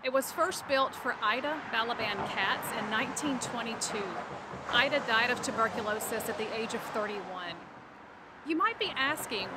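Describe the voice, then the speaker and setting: Measured woman tour host outdoors. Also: on the high side. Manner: clear, measured presenter delivery. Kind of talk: guided tour narration. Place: outdoors in a cemetery. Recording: outdoor noise, some traffic.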